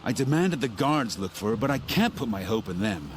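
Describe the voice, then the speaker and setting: Anguished father for a video game: middle-aged, emphatic, halting, widely swinging intonation. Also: fairly low pitch, sing-song, a little hiss or hum. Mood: desperate. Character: distraught middle-aged father searching for his missing daughter. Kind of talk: video game character acting.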